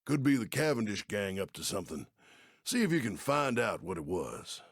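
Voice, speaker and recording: A man's voice: on the low side, big pitch swings, normal speed, slightly rough, no room sound, studio quality, heavily acted. A man playing a folksy, aging, kindly but worried Old West sheriff in a video game, with an American Western accent and neighborly, drawling speech. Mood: helpful, concerned. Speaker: mission-giving game character. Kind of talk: video game character acting.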